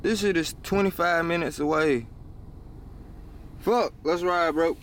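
A man's voice: on the high side, unhurried. Slangy young man vlogging on the go. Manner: loose slangy talk, calls viewers bro. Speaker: sneaker vlogger. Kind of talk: casual vlog talk to camera. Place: car and shopping mall. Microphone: handheld camera at arm's length. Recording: loud background noise.

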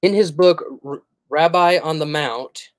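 A man's voice: fairly high pitch, unhurried, dry recording. Earnest man teaching in a dry room. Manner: earnest, explanatory, frequent "uh" fillers. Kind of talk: livestream teaching, reading quotes aloud.

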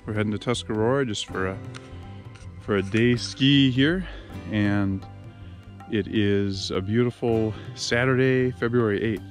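A man's voice: lowish voice, measured pace, soft background music. A young man chatting casually as he films himself ice fishing and skiing, narrating as he goes, in an upbeat, chatty way. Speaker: outfitter vlogger. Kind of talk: casual outdoor vlog. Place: ice fishing shelter, then outdoors on a snowy trail. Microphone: action camera held close or body-mounted.

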